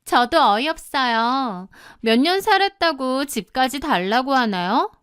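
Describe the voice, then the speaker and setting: A woman voicing a brazen young mistress in an audio drama, calm, cheeky and mock-polite as she taunts a wife. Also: sits high, unhurried, smooth, no echo, studio quality, pitched above the performer's own voice. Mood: taunting, mock-calm.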